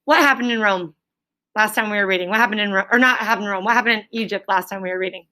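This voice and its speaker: Bright-voiced woman teaching a class. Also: medium pitch, racing. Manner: instructive, prompting with check-in questions. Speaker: elementary school teacher. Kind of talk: classroom read-aloud lesson with questions to pupils. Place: classroom with pupils answering.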